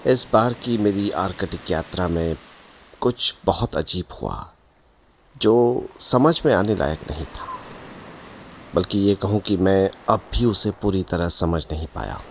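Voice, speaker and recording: An actor playing a grave, puzzled ship captain and explorer, recounting events in measured audio drama narration. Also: male voice, low voice, a little hiss or hum.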